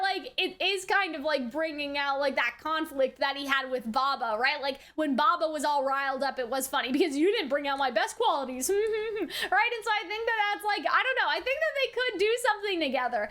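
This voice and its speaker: A woman's voice: high voice, normal speed, pushed and bright. A woman giving a casual, rambling review and commentary, thinking aloud with lots of hedges and tag questions. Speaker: reaction and review host.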